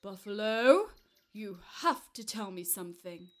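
A woman voicing a huge, slow-witted male giant in a storybook tale, growling and booming, loud and demanding. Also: animated, slight background noise, close to an everyday voice. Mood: puzzled, curious.

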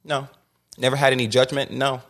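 A man's voice: mid-range voice, level tone, brisk, fairly bright tone. A young man hosting a podcast, telling his story in a candid, emphatic way and often restarting and repeating words. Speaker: podcast host.

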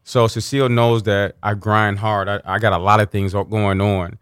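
Man co-host, entrepreneur, earnest and spiritual, fairly low voice, dry close mic. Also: flat delivery, moderate pace, fairly bright tone, smooth, no room sound. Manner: earnest, spiritual, frequent "you know". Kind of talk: casual chat.

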